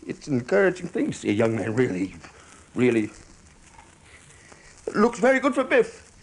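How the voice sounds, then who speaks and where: A man's voice: medium pitch, wildly swooping pitch, moderate pace, exaggerated voice. An actor playing a weary, proud older American salesman in a stage-style radio drama, stammering and rambling with desperate emphasis. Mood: forced cheer. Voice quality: husky, gravelly. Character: weary ageing travelling salesman, proud, desperate, self-deluding.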